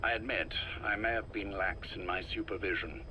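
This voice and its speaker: A man playing a calm, calculating leader of a secret organization in a video game, speaking in a measured, commanding way. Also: low voice, poor audio. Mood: cool, commanding. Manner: measured, deliberate, cool and commanding. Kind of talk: dramatic video game voice acting. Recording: faint noise, recorded tape audio.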